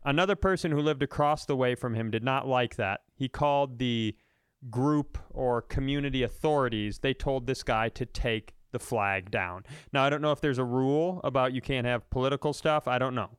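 A man's voice: medium pitch, moderate pace, clean tone, dry recording, studio quality. An American man co-hosting a comedy podcast, ranting casually in a blunt, profane, mocking way.

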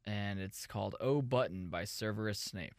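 A man's voice: on the low side, moderate pace, no echo, clean studio sound. A man co-hosting a podcast, casual comedic chat with silly improvised bits. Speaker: podcast co-host.

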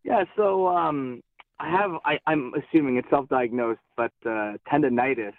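A thirty-year-old man calling into a radio show describes his problem casually, with halting starts and many fillers. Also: mid-range voice, no echo. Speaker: caller. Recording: tinny phone line.

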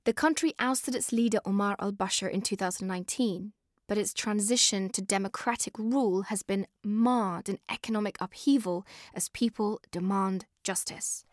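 A British woman narrating a scripted podcast as host, in an expressive, conversational way that speaks straight to listeners. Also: mid-range voice, normal speed, dry recording, clean studio sound. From born in Afghanistan, moved to the UK.

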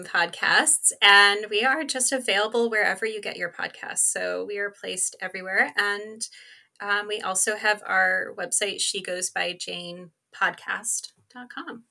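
A woman's voice: mid-range voice, a little slow, gravelly, bright, forceful tone. A woman speaking as a podcast guest in an earnest, informal conversational style, often dropping in "like" and "you know". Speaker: podcast co-host being interviewed as guest. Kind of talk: conversational interview talk.

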